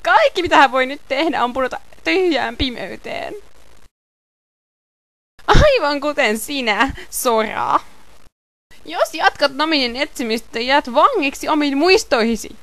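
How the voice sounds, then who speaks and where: A cruel, mocking male scientist villain from a video game fandub, acted by a woman. The voice is fairly high and swings widely in pitch, theatrical and ominous, in a very dry recording. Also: expressive, a bit bright, no room sound. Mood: cold, threatening. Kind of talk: amateur game fandub acting.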